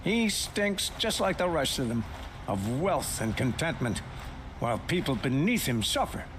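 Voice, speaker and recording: A man's voice: mid-range voice, big pitch swings, measured pace, background noise. A man playing a grieving, righteous thief who feeds the poor, speaking bluntly and earnestly in a video game. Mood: defiant, bitter. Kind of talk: video game dialogue acting. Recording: music bed behind the voice.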